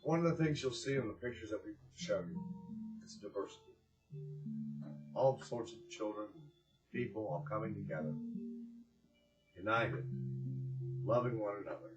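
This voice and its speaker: A man reading aloud and hosting for children, with expressive, drawn-out phrasing and a gentle, encouraging way of addressing them. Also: on the low side, over music, rough recording. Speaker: children's story reader and host.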